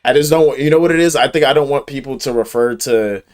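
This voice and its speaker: A man's voice: mid-range voice, fast, a little mellow. A man livestreaming a game and chatting casually, with slangy, profane, emphatic speech and a habit of repeating himself. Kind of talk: casual chat while gaming.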